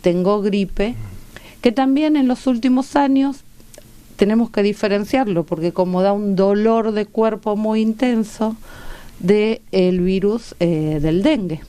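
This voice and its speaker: A woman with a Rioplatense Argentine accent and a smooth, clean voice at an average pitch. She speaks as a medical guest in a radio interview, explaining things in a didactic, conversational way and checking in with little tag questions. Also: a little slow, warm tone, dry recording. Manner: didactic, checks in with rhetorical tags. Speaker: guest doctor and medical researcher.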